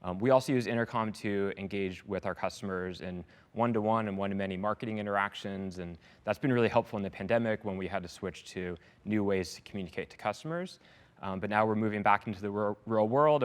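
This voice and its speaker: A man with a very low voice speaks at a rapid clip. He answers like a software executive in a business interview, with measured corporate phrasing and an upbeat take on the work. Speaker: head of sales, success and support at a software company, interviewed as a customer.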